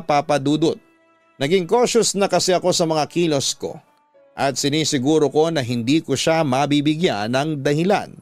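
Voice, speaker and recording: A man's voice: on the high side, no room sound. A man with a Filipino accent narrating a scripted first-person story dramatically, as a radio host reading a listener's letter.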